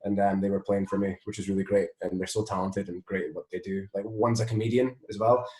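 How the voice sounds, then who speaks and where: Scottish male guest, lowish pitch, informal conversational delivery, echoey room sound. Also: little pitch movement, fast, mellow. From Scotland. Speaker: interview guest, musician and songwriter. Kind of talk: casual interview chat. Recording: roomy, echoing sound.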